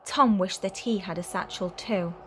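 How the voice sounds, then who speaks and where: A woman reading a children's story aloud as a teacher would, in a clear, expressive way for young listeners. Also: mid-range voice, normal speed, slight background noise.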